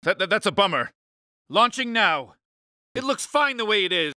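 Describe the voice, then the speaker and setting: A man voicing a grumpy, uptight, pompous alien spaceship leader in an animated cartoon game, exasperated and emphatic. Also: high voice, normal speed, no room sound, studio quality, full character voice. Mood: pompous, insistent.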